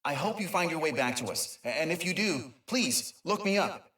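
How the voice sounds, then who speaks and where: A man's voice: a little high, expressive, moderate pace, sharp tone, reverberant, distant mic. A man voicing an eager, nerdy, kind creature inventor who rambles and stammers through an informal video game audio log. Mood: sad, hopeful. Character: eager, nerdy, kind male Lombax inventor and dimension mapper.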